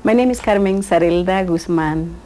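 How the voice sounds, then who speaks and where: Gentle woman, low warm voice. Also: normal speed, faint music behind. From Panama. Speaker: interviewee, dancer.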